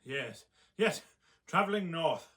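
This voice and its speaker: A man's voice: a little high, lively intonation, slow pace, fairly bright tone. A man acting a haunted, formal scientist telling his tragic tale in a radio drama. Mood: haunted, intense. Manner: formal, brooding, theatrical.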